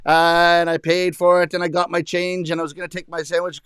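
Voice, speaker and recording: A man performing comedy, telling stories to an audience in English, his first language, and shouting out the voices of the Japanese ladies he meets. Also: a little high, little pitch movement, fairly quick, clean tone, no room sound, studio quality. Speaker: rakugo comedian. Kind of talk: stand-up storytelling to an audience.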